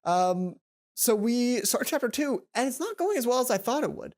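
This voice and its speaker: A woman hosting a let's play, reading a visual novel aloud and adding chatty, informal, animated asides. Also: on the high side, normal speed. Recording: music behind the voice. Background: game music.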